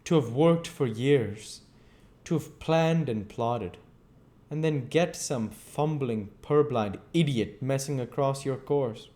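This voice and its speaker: A man's voice: mid-range voice, dark tone, voice pushed up, close to an everyday voice. An arrogant and bitter fugitive scientist from a storybook, acted out by a man reading aloud. He declaims with indignant emphasis in a voice pushed upward, always volatile and sure of his own genius. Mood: furious, exasperated.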